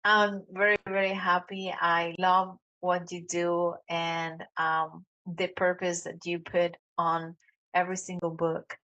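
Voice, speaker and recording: Slow Colombian-accented woman host. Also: lowish voice, flat delivery, dull audio. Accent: Colombian Spanish. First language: Spanish. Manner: warm, halting with many "um"s. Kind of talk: interview hosting.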